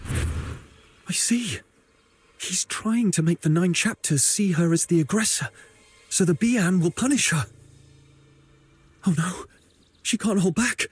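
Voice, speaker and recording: A young video game hero, watchful and protective. His voice is notably breathy and husky, sitting a little high for a man. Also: takes it slowly, long pauses, no echo, exaggerated voice. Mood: alert, concerned.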